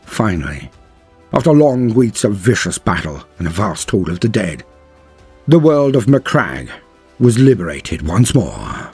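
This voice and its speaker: A man's voice: lowish voice, sing-song, measured pace, a little mellow, husky. A man narrating scripted lore in a solemn, grandiose, dramatic storytelling style. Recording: music under the voice. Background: background music.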